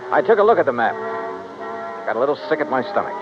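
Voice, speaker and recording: An actor playing a hard-boiled, blunt insurance investigator in a 1950s radio detective drama, speaking in a clipped, matter-of-fact way. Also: male voice, medium pitch, sing-song, fast talker, over music, lightly acted. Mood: grim narrating. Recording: dull, noisy vintage radio recording.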